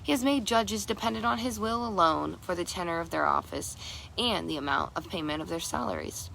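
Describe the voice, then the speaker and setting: A woman reading a historical document aloud, haltingly, sometimes stumbling over long words and repeating them. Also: on the low side, creaky, sharp tone, slight background noise, poor audio. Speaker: reader.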